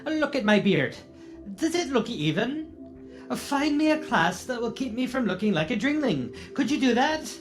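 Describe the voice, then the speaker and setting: A woman's voice: on the high side, sing-song, normal speed, soft background music. Woman playing a haughty, fussy rich shopper. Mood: haughty, impatient. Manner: demanding, condescending. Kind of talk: radio serial skit.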